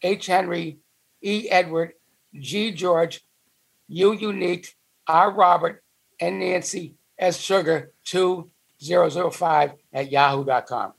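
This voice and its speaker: A man's voice: sits high, takes it slowly, creaky, slightly rough, rough recording. A middle-aged man with a Boston accent, talking as an interview guest in a friendly, rambling way. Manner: friendly, rambling, eager to help. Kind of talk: casual interview talk.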